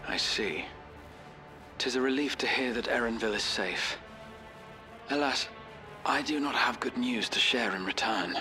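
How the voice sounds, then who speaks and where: Caring young gentleman, formal archaic wording, forceful bright tone, game drama. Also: medium pitch, measured pace, long pauses, soft background music. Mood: grave, concerned. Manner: formal, old-fashioned phrasing. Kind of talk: video game cutscene voice acting.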